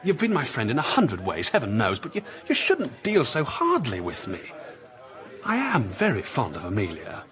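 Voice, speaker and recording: A rakish young English gentleman officer of a period radio play. His voice swings very widely in pitch, blustering and haughty, proud and charming by turns. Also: huge pitch swings, moderate pace. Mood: contrite, cajoling. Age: young adult. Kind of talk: period radio drama acting.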